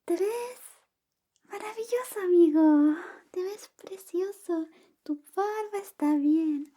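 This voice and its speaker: A woman whispering softly and gently in an ASMR roleplay, playing a friend who grooms you. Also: very high voice, slow. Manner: soft whispering, close and gentle.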